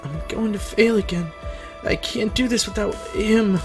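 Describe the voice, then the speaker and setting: A man voicing a lonely, eerie ghostly sister, a young woman who speaks plaintively and haltingly. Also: high-pitched, soft background music, voice pushed up. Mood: despairing.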